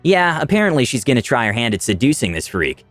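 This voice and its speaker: Animated man narrating a fantasy story. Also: mid-range voice, quick pace, dry recording, faint music behind. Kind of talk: dramatic scripted first-person fantasy narration.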